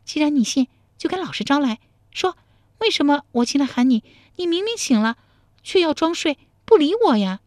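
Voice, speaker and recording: A feisty young country woman from a storybook, acted out by a female narrator, speaks high and commanding. Her pitch swoops freely as she scolds and teases with a sharp tongue, recorded close and dry. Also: very animated, moderate pace, no room sound. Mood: fierce scolding. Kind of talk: dramatized storybook dialogue.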